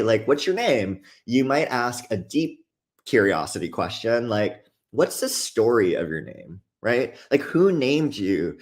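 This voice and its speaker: A young adult man from Hawaii, a guest author talking in an earnest, relaxed way with lots of casual fillers. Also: medium pitch. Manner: earnest, relaxed, many fillers like "like" and "you know". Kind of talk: casual conversational interview.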